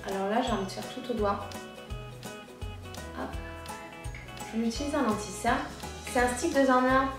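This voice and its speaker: Woman beauty vlogger, stuffy with a cold, loose chatty delivery; roomy echo, distant mic. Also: on the high side. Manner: chatty, rambling, frequent fillers. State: congested with a cold. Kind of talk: casual makeup chat while applying products. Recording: very echoey room, off-mic, faint music.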